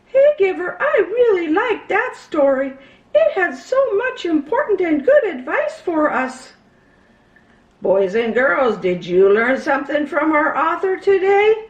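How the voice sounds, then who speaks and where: A woman's voice: very high-pitched, very animated, measured pace, exaggerated voice. A woman voicing a cheerful, friendly sidekick character on a children's show, with dull, echoey sound.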